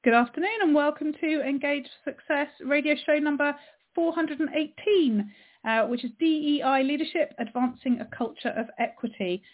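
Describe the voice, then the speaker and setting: A woman hosting a radio show, introducing and interviewing a guest in a measured way. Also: sits high. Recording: tinny phone line.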